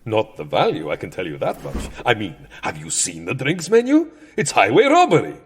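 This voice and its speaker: Theatrical, fussy old vampire count, swooping voice. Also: male voice, on the low side, huge pitch swings, normal speed, slight background noise. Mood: wry, chatty. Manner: theatrical, wry, fussily verbose. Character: Count Dracula, centuries-old male vampire, fussy, sardonic showman. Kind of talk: comedic audio drama acting.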